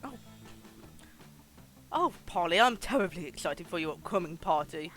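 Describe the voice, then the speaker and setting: A streamer giving live game commentary and reading game text aloud in an animated, giggly way, with casual swearing. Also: mid-range voice, normal speed. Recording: music behind the voice. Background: game music.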